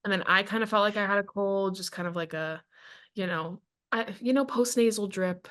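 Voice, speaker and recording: A woman's voice: medium pitch, normal speed, fairly bright tone. A woman co-hosting a casual comedic book chat, playful and sweary, sounding a little stuffy from a cold. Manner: playful, profane, mock-whiny. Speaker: podcast co-host.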